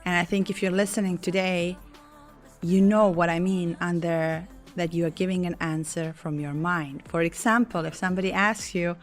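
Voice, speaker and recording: A woman hosts her spiritual podcast with a hoarse, scratchy voice that sits fairly low. She talks casually, stumbling into restarts and soft laughs as she apologizes for how she sounds. Quiet music plays faintly behind her. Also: fairly even intonation, normal speed. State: hoarse, lost voice. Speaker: podcast host.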